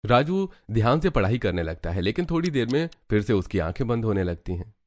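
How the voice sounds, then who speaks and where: A man's voice: lowish voice, very animated, a little fast, darkish tone. A man reading scripted story narration for a cartoon.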